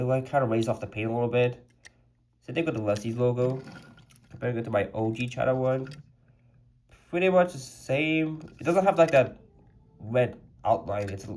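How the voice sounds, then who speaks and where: A man's voice: medium pitch, darkish tone, slight background noise. A man reviewing a custom toy car in a casual vlog, rambling and chatty with a slightly congested voice. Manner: rambling and chatty, lots of "like" fillers.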